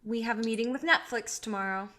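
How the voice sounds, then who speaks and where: Woman acting a firm young film director, highish voice. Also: fast talker, bright, forceful tone. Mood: guarded, firm. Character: young female indie film director, guarded and assertive. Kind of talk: stage play reading.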